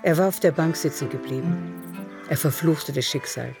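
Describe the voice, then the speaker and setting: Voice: mid-range voice, normal speed, creaky. A narrator telling a literary story in a radio drama, in a composed manner. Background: music.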